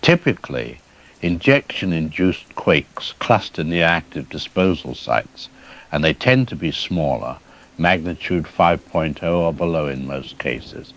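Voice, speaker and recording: An actor playing a calm, middle-aged seismologist who explains things carefully and hedges his words, as a guest in a news interview drama. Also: a bass voice, measured pace, heavily acted, rough recording. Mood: measured. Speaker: expert guest.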